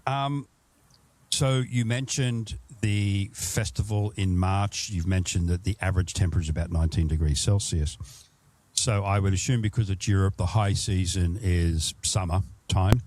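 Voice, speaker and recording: A man's voice: low voice, fairly even intonation, moderate pace, a little mellow. An Australian man hosting a travel podcast, chatting casually and rambling through his questions, often restarting them. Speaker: podcast host. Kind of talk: casual interview chat.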